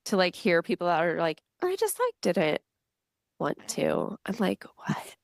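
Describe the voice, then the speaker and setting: A woman guest on a podcast, talking in a chatty, animated way with lots of "like". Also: lowish voice, a little slow, creaky, no echo, studio quality. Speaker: podcast guest, business owner. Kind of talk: casual podcast conversation.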